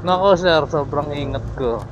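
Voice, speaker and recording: A man, a motorcycle taxi rider chatting casually and teasing over a helmet intercom, quick to laugh. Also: a little high. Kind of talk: casual joking intercom chat. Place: outdoors on a motorcycle in street traffic. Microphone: helmet intercom microphone. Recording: dull helmet intercom audio with music.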